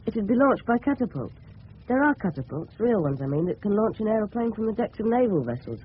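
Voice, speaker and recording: Tinny recording, earnest English schoolboy. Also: male voice, sits high, sing-song, fast talker. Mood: earnest, polite. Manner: polite, earnest, boyish. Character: polite, earnest fourteen-year-old English schoolboy, self-conscious. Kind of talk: radio drama acting with inner monologue. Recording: noisy tinny old radio recording.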